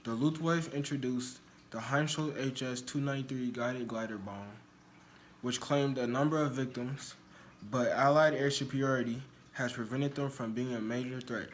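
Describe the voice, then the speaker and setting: A man's voice: mid-range voice, steady tone, moderate pace, slight background noise. A man reading a scripted news report aloud in an amateur recording.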